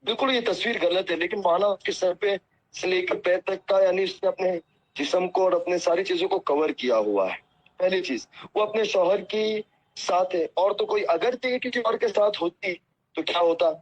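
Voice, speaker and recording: Man, earnest and apologetic, husky voice, lo-fi recorded phone call. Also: high-pitched, moderate pace, no room sound. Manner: humble, apologetic and earnest, pleading at length. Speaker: cleric answering a phone call. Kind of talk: phone conversation.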